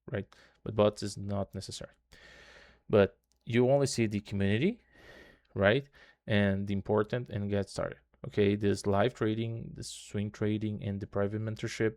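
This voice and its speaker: A man with a low voice, Portuguese being his first language, guides viewers through a screen share in loose, repetitive English. His delivery stays flat and even. The sound is dry and close. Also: no room sound. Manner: loose repetitive tutorial talk, constant "okay" and "right" tags. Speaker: trading channel host. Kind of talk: casual screen-share walkthrough.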